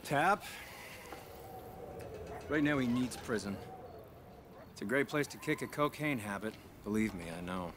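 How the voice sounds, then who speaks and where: An actor playing a smooth, faintly smug male fixer in a video game, wry and commanding. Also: mid-range voice, animated, a little slow, pauses often, noisy background, natural voice. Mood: wry, controlled. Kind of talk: naturalistic video game acting.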